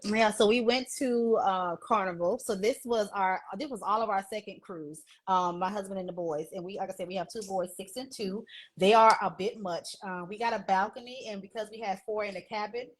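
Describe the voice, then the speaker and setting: A woman's voice: medium pitch, a little fast, rough recording. A young adult woman, an interview guest, chatting casually and telling candid, folksy stories about her own life. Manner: candid, animated storytelling, folksy. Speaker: interview guest, travel agent. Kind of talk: casual chat, personal anecdotes.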